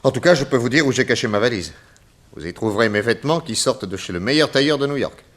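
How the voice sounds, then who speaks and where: An actor playing a bold, self-assured detective hero who narrates and acts in a 1960s French radio drama. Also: male voice, medium pitch, animated, fast, natural voice. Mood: indignant, cocky.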